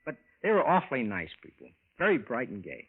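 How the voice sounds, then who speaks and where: An actor playing a folksy, puzzled American landlord in 1940s radio style, plain-spoken and hesitant. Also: male voice, mid-range voice, huge pitch swings, brisk, husky. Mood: folksy narrating. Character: puzzled, folksy husband and landlord narrating his story. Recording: muffled vintage radio recording with music.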